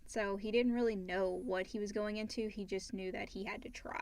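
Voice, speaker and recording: A woman's voice: mid-range voice, fairly even intonation, a little fast, darkish tone. A woman co-hosting a podcast, chatting casually and reading out listener questions. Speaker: podcast co-host.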